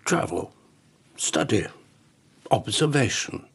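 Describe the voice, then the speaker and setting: Husky older court lord, gloomy n wary, voice swings up and down, radio drama. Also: male voice, mid-range voice, sing-song, slow, fairly bright tone, no echo, full character voice. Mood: gloomy, curt. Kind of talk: BBC radio drama acting.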